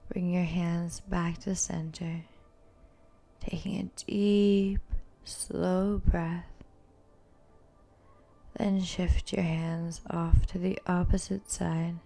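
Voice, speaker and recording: A woman leads a yoga class in a soft-edged, mellow voice with noticeable vocal fry. Her delivery is calm and very even in pitch, each instruction gently cued. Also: very slow, pauses often. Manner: calm, soothing, gently cueing. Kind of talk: guided yoga and meditation instruction.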